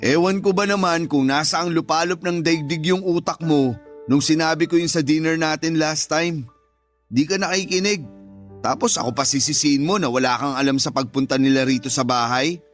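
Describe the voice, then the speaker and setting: An actor playing a firm but considerate older brother in a radio drama. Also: male voice, fairly high pitch, moderate pace, faint music behind, natural voice. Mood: stern, scolding. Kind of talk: Tagalog radio drama acting.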